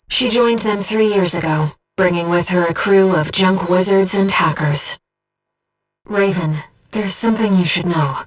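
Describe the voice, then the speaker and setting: A woman voicing a disembodied female guide who speaks in a pilot's head as mission operator in a video game, with a soft, breathy voice and a precise, earnest delivery. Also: medium pitch, distant mic, poor audio. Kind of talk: scripted video game voice lines, mission briefings and battle callouts.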